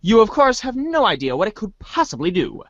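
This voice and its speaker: A man's voice: high-pitched, big pitch swings, fairly quick, no echo, dull audio. A man giving a theatrical dramatic reading of scripted narration, now and then stumbling and rereading words. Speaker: voice reader in a webcomic reader's theater.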